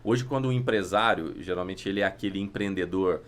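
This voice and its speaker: A man's voice: low-pitched, a little fast, a little hiss or hum. A man with a Brazilian accent speaking casually as a business podcast guest, explaining things informally. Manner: informal, explains with acted-out examples.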